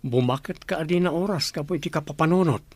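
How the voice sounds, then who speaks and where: A man playing a warm-hearted, easygoing older brother from a poor household in an Ilocano audio drama. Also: a little high, fast talker, dry recording. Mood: caring, teasing.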